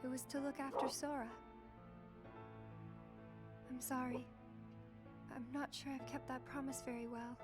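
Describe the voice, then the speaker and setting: Gentle serious game girl, high voice, barely moves in pitch, soft and careful. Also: flat delivery, a little slow, pauses often. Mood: gentle, solemn. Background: game music.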